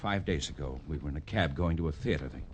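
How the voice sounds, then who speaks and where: A man's voice: a bass voice, fast talker. A man playing a pompous, easily cowed underworld big shot in a 1950s radio crime drama. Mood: defensive, subdued. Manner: boastful and menacing, pleading under pressure. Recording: old radio broadcast, faint background noise.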